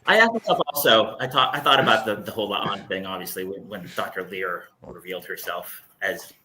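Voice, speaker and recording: A man's voice: low-pitched, a little fast, background noise. A man on a discussion panel, chatting casually and giving his opinion in a frank, blunt way. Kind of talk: casual chat.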